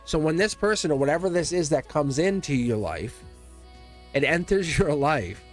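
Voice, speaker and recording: A man's voice: a little high, lively intonation, normal speed, faint music behind. A man giving a live-streamed tarot reading, chatty and teasing, laughing often as he talks to his viewers. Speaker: tarot reader.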